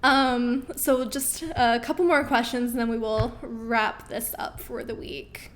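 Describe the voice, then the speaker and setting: A woman's voice: a little high, steady tone, unhurried, slight background noise. A woman in her thirties hosting a live question-and-answer stream, reading viewer comments in a chatty, upbeat way with frequent ums, her voice getting worn. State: losing her voice.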